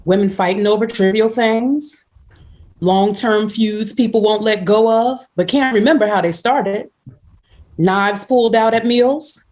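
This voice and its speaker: A woman's voice: medium pitch, a little mellow, lightly acted. A woman playing a wry, world-weary woman home from prison, in a screenplay table read. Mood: weary, reflective.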